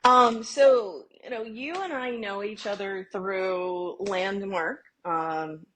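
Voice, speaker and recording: Husky-voiced woman podcast host. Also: mid-range voice, slow, fairly bright tone, rough recording. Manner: chatty, encouraging. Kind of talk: casual podcast interview.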